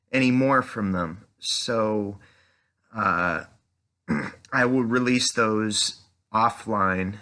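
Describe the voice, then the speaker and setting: A man hosting a podcast in a casual, halting way, introducing material and asking questions with hesitant phrasing. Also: mid-range voice, pushed and bright. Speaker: podcast host.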